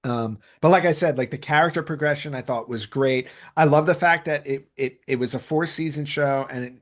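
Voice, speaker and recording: A man's voice: a little high, fairly quick. Emphatic man chatting on a podcast. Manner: enthusiastic, emphatic, repeats himself for stress. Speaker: podcast co-host. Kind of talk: casual podcast discussion.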